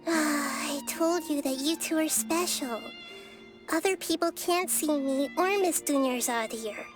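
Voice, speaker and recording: A small, girlish goddess of wisdom in a video game. The voice is very high, yet calm, measured and knowing, with a gently playful touch. Faint music plays behind it. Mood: matter-of-fact. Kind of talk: English video game voice acting.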